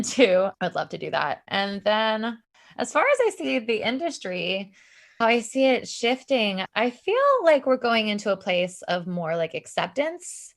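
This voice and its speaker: A woman's voice: medium pitch, vocal fry. A woman in her thirties, a singer and bandleader, chatting casually and eagerly as a podcast guest, with many fillers. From Puerto Rico. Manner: enthusiastic, many "like" fillers, occasional restarts. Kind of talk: casual interview chat.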